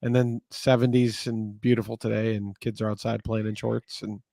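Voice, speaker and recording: A man from Texas co-hosting a casual podcast chat, easygoing and halting with fillers. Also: fairly low pitch, level tone, creaky, dark tone, no room sound, clean studio sound. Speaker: podcast co-host.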